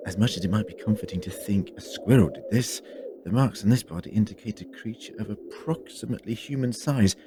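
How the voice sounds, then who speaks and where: A man plays an inquisitive, towering traveler through time with a slightly low voice. He reasons aloud deliberately, with a dry theatrical streak. Clear ambient noise sits behind this audio drama performance. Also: natural voice. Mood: pondering, analytical. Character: very tall time-traveling doctor, inquisitive, dryly dramatic male.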